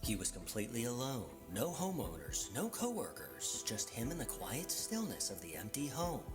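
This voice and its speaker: Dramatic male narrator over loud music. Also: medium pitch, huge pitch swings, moderate pace. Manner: dramatic storytelling. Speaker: narrator of a ghost countdown video.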